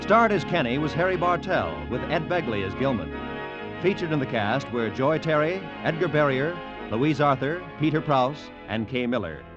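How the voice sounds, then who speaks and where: An American man delivers formal old-time radio announcements in a fairly high, very animated voice. He sounds polished and emphatic. Music plays behind him on a dull, dated recording. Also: normal speed. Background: instrumental music.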